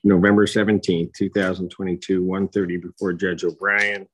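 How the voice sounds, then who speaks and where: A middle-aged man speaking as a judge, formal and measured, with halting phrasing as he rules from the bench. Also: low-pitched, little pitch movement, husky. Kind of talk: formal court proceedings, ruling from the bench. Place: courtroom bench.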